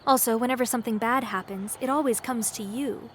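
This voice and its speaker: A woman's voice: fairly high pitch, a little fast, a little hiss or hum, close to an everyday voice. A woman playing a sweet, awkward, anxious young woman in a comedy horror audio drama, hesitant and apologetic. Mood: awkwardly evasive.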